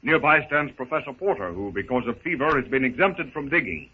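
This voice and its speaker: A man's voice: medium pitch, lively intonation, fairly quick, husky. A man giving florid, dramatic scripted narration in the manner of a 1930s radio serial. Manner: florid, theatrical. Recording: noisy tinny 1930s radio recording.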